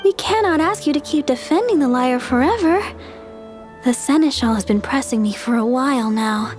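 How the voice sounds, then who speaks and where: A woman's voice: high-pitched, normal speed, music in the background, natural voice. A woman voicing a sweet, pious young church deaconess in an anime game, polite and easily distraught. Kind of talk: anime game character acting.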